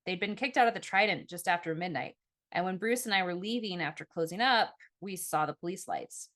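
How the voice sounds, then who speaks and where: A woman's voice: on the low side, a little fast, a bit bright, close to an everyday voice. Woman doing tired sarcastic younger woman, dry and weary, audiobook dialogue. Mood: hesitant, serious.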